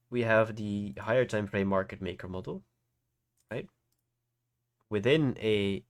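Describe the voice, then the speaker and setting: A man's voice: lowish voice, a bit bright. A man hosting a casual live tutorial, congested from hay fever, explaining informally and often checking that listeners follow. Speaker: trading livestream host and teacher. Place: voice-over on an online livestream.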